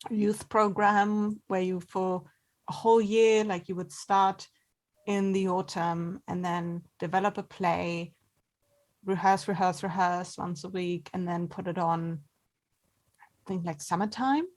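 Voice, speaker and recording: A woman in a casual interview as an actor guest, talking conversationally in halting, hesitant phrases. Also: on the low side, level tone.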